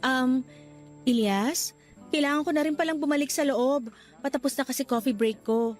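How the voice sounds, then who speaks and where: A woman plays a sweet young office worker in a radio drama. Her voice is high and her manner friendly and polite, with faint music underneath. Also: moderate pace, darkish tone, natural voice. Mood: evasive, uneasy.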